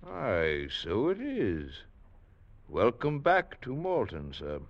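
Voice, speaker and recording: An actor playing a strange, deferential manservant at an old inn, formal and servile, in 1940s radio drama style. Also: male voice, medium pitch, huge pitch swings, slow pace, exaggerated voice. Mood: deferential, eerie. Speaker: supporting actor. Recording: dull vintage radio broadcast.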